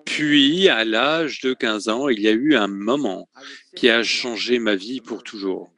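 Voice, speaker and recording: A man in a mid-range voice voices a talk in French as an interpreter. His delivery is measured and steady, broken now and then by filler sounds and quick self-corrections as he finds the right word. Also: medium pitch. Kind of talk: French voice-over of a conference talk.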